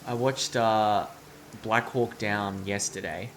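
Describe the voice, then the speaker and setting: A man's voice: fairly low pitch, fairly even intonation, slow, a little hiss or hum. A man game streamer giving blunt, sweary, casual live reactions and chat.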